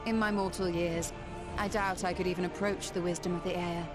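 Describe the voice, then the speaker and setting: A woman's voice: fairly low pitch, steady tone, fairly quick, music in the background, natural voice. A woman playing a proud, wise sorceress and scholar in a fantasy video game, speaking in a composed, formal, archaic manner. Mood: composed, firm. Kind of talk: scripted video game drama acting.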